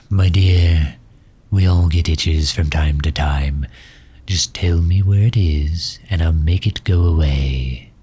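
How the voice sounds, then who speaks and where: A man playing a formal, solicitous megalomaniac villain gently tending his injured nemesis in an intimate ASMR roleplay, with a husky, breathy low voice. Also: slow pace, exaggerated voice. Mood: gentle concern.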